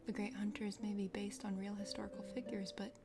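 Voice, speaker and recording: A woman's voice: mid-range voice, flat delivery, fairly quick, noisy background, pitched below the performer's own voice, close to an everyday voice. Woman voicing a prim, condescending whispering dragon lady. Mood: amused, condescending. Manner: formal, prattling, condescending. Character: prim, chatty, condescending dragon sister of a forest kingdom. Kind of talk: soft whispered ASMR character reading. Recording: music behind the voice.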